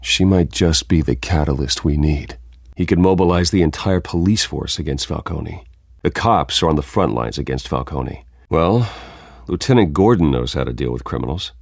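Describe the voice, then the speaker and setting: An actor playing a brooding, guarded vigilante in a video game, terse and grave. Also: male voice, very deep voice, expressive, normal speed, gravelly, dry recording, heavily acted. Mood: grim, determined. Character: brooding, guarded wealthy vigilante man in his prime.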